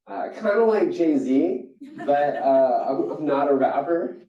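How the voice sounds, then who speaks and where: A young man from Singapore gives a storytelling keynote with a mellow, darker voice, often restarting his sentences. He sounds far from the mic in an echoey hall, and the recording is dull and muffled. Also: slow. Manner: storytelling, animated with jumps in pitch and frequent restarts. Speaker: keynote speaker. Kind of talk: motivational keynote talk. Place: conference hall.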